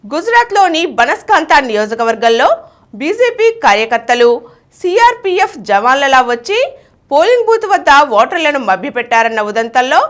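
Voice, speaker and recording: A woman reading scripted news in a formal, clearly enunciated manner. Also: high-pitched, a bit bright. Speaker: news reader.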